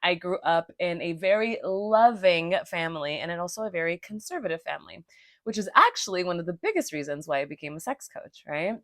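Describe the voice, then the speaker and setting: A woman's voice: lowish voice, expressive, a little fast, dry recording, clean studio sound. A young woman from Los Angeles, a native Spanish speaker, hosting her own podcast in a casual, chatty way, swearing freely and tagging sentences with "right?" and "okay?". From Los Angeles, California. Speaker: podcast host and sex coach. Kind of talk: casual solo podcast monologue.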